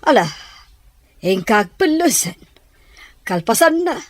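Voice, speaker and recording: A woman with a Filipino accent playing a worried, chiding middle-aged mother in a radio drama, husky-voiced. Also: on the high side, very animated, slow, dry recording. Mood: worried, scolding.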